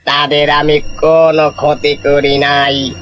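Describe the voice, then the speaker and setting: An actor playing a boastful, menacing fish-eating river ghost in a story cartoon, with drawn-out, taunting threats. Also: male voice, a little high, monotone, music in the background. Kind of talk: Bengali animated horror storytelling.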